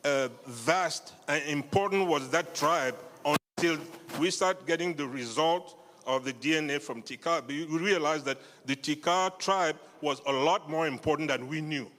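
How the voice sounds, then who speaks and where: A man with a somewhat raised voice speaks earnestly and haltingly, pausing to find his words. He is addressing a live crowd in a theater, and his voice rings with the long reverb of the hall. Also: on the high side, fairly bright tone. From Cameroon. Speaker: guest speaker. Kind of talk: speech at a live event. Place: theater stage.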